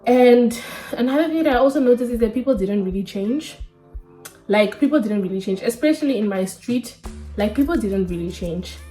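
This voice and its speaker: A woman's voice: on the high side, animated, normal speed, warm tone, faint music behind. A woman in her twenties from Namibia, chatting candidly and casually to camera in a sit-down vlog, full of slang. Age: 20s. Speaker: vlogger.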